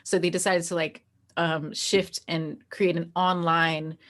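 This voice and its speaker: A woman with a slightly low voice chats as an interview guest. She tells things in an animated, informal way, like a game master recounting stories to a friend. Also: measured pace. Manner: animated storytelling that acts out quoted dialogue, frequent "like". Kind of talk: casual chatty interview.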